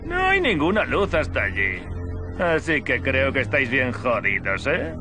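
Cantankerous game survivor, slow and mocking, hugely animated pitch, music behind. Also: male voice. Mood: sneering. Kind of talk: video game cutscene acting.

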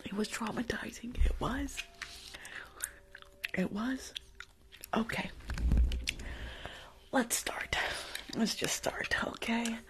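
A young woman playing a rude, weary school nurse in an ASMR roleplay, grumbling in a breathy whisper close to the mic. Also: a little high, slow, pauses often. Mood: rambling, confessional. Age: young adult. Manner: sassy, grumbling, rambling. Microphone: close foam-covered microphone on desk.

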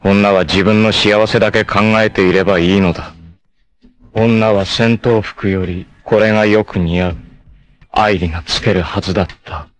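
An actor playing a fierce, proud martial artist in an anime, with an intense, theatrical delivery. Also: male voice, fairly low pitch, fairly even intonation, close to an everyday voice. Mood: brooding, cool. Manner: intense, theatrical, from cool measured speech to anguished shouts. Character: fierce, proud martial artist hunting his sister's abductor. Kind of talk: dramatic anime acting.

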